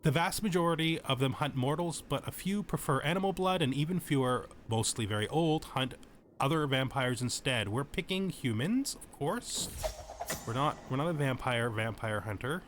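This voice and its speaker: A man's voice: mid-range voice, lively intonation, normal speed, a little hiss or hum. A man giving casual tutorial commentary on a game as its host, explaining things and thinking aloud as he goes, often correcting himself. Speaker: let's play host.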